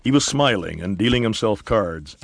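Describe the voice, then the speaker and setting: A man's voice: fairly low pitch, lively intonation, normal speed, vocal fry, no room sound. A man narrating a dramatized Western story in scripted audiobook style.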